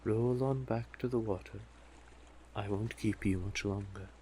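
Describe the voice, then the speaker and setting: Slow, low-voiced doting sea witch played by a man. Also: steady tone, warm tone, a little hiss or hum, close to an everyday voice. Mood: doting, teasing. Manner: coaxing, unhurried, tender with pet names. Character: doting, possessive, manipulative male sea witch. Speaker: audio roleplay performer. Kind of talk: intimate scripted roleplay addressed to the listener.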